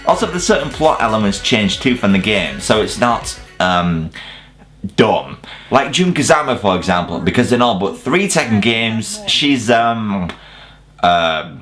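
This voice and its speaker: A man with a fairly low, very animated voice delivers scripted review commentary over music. He talks casually, swears freely and slips into mock-incredulous asides as he hosts. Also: unhurried. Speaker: video reviewer and host.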